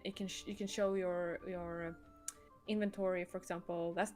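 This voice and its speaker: A woman's voice: on the low side, level tone, moderate pace, darkish tone, faint music behind. A woman co-hosting a casual livestream as a game developer, chatting and commenting alongside the player.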